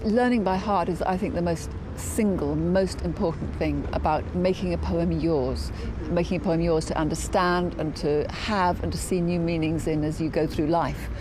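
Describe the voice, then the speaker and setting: A woman speaking earnestly about learning poems by heart, slowing right down when she recites. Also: lowish voice, background noise. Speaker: poet guest. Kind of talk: reflective talk and poem recital.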